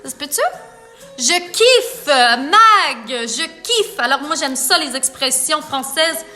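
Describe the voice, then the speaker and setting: A woman's voice: high voice, expressive, slow, pushed and bright, faint music behind. A woman makeup artist with a Quebec French accent, chatting playfully with viewers during a live makeup tutorial. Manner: chatty, playful, reads viewer comments aloud.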